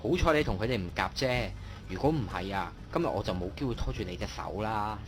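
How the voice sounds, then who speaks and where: An actor playing an affectionate young boyfriend in a radio drama, gently teasing in colloquial speech. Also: male voice, on the low side, expressive, quick pace, a little hiss or hum, close to an everyday voice. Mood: tender, teasing. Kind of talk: Cantonese online radio drama acting. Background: music behind the voice.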